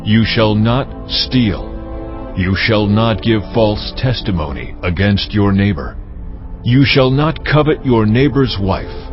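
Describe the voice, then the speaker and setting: An actor playing God, solemnly proclaiming commandments in a dramatized scripture reading. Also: male voice, fairly low pitch, expressive, slow pace. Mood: solemn command. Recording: dull sound with music behind. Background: music.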